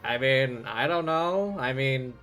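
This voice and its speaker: A game streamer with a mid-pitched voice talks at an unhurried pace. The delivery is casual and easygoing, with some hesitation, and game music plays behind it. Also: animated, fairly bright tone. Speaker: streamer, host. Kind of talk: casual livestream chat while playing a game.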